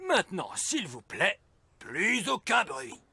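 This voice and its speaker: An actor playing a pompous, sceptical old male elf sage in a French cartoon, with a theatrical, drawn-out delivery. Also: sits high, huge pitch swings, vocal fry, pushed and bright, exaggerated voice. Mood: pedantic, thoughtful. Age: older. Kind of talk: French animated series dub acting.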